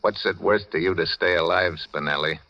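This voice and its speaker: A man's voice: low voice, normal speed, creaky. An actor playing a tough, bitter man on the run in a 1940s radio noir thriller, speaking in a clipped, hard-boiled way. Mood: grim. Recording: dull vintage radio recording.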